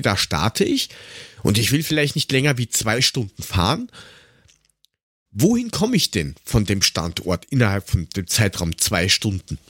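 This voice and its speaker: A man's voice: mid-range voice, a bit bright, husky, no room sound, studio quality. An Austrian man chatting casually as a podcast co-host, rambling and grumbling in a sarcastic, coarse-mouthed way.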